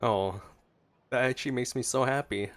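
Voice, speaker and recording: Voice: medium pitch, moderate pace. A streamer giving casual, playful gameplay commentary, reacting aloud to the game. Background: game music.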